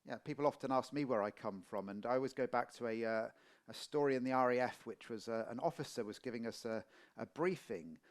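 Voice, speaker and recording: Relaxed English interviewer, mid-pitched. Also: male voice, fairly quick. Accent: Middle English. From Portsmouth, England. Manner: relaxed, anecdotal, chatty. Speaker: interviewer, podcast host. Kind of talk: casual interview chat.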